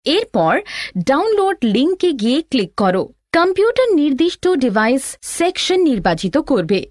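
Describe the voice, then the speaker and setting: A woman voicing an instructive elder sister in an animated lesson, giving deliberate, scripted step-by-step tutorial narration. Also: sits high, no room sound, studio quality.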